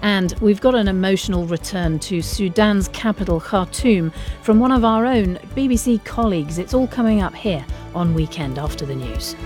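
A woman presenting radio news and interviewing guests in a measured broadcaster's delivery. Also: medium pitch, a little mellow, faint music behind.

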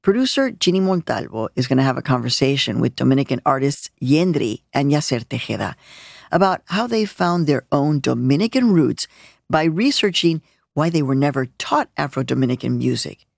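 A man hosting a radio program, introducing the next segment in a measured, scripted way. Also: medium pitch, vocal fry, no echo, studio quality. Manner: measured, addresses the listener directly. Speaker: show host.

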